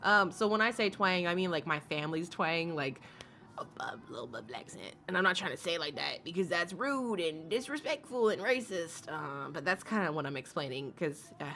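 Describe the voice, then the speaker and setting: A woman's voice: lowish voice, big pitch swings, brisk, pushed and bright. A woman commenting casually to her live chat, rambling and laughing often, with asides to viewers. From Alaska. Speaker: commentary streamer.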